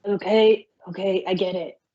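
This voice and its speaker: A woman's voice: medium pitch, fairly even intonation, moderate pace, dull audio, natural voice. A woman voicing a young male dragon in an amateur audio drama, casual and a little hesitant. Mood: resigned.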